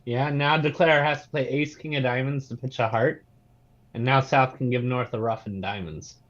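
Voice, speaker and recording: A man giving measured, analytical bridge commentary with dry quips. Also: medium pitch, fairly even intonation, fairly bright tone, muffled recording.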